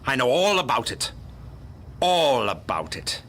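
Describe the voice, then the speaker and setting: A gruff, sardonic homicide detective from a pulp radio drama. His delivery is belligerent and commanding, with a hard bright edge and wildly varied pitch. There is noise behind the voice. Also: sing-song, a little slow. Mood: accusing. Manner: blunt, scowling, commanding.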